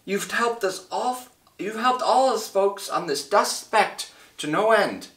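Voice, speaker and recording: A man's voice: high-pitched, lively intonation, takes it slowly, a bit bright, roomy sound, distant mic, voice pushed up, exaggerated voice. A man voicing a tiny, friendly, grateful town mayor in a small piping voice for a children's storybook.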